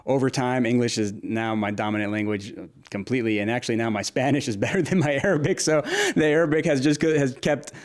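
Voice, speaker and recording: A young man from Nashville talks easily as a guest in an interview. He laughs a lot and stops and restarts his sentences, sounding friendly and unhurried. His voice sits at an everyday middle pitch. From Nashville, United States. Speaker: interview guest, food creator. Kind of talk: casual conversational interview.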